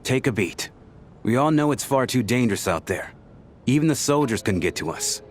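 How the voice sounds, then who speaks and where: An actor voicing a level-headed man who speaks in a calm, reassuring way. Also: medium pitch, moderate pace, soft background music, natural voice. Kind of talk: video game voice acting.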